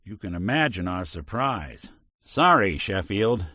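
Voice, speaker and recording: Deep-voiced, wry robot detective. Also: male voice, very animated, unhurried, pushed and bright, slightly rough, no room sound, clean studio sound, heavily acted. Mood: sardonic. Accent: American. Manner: wry, hard-boiled, old-time private eye slang. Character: world-weary, principled robot detective, middle-aged man, wry. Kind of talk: video game character acting.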